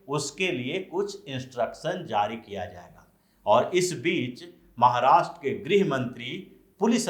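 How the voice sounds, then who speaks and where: Male news commentator, higher-pitched and very animated, explaining politics with emphasis; roomy, echoing sound. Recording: echoey room sound.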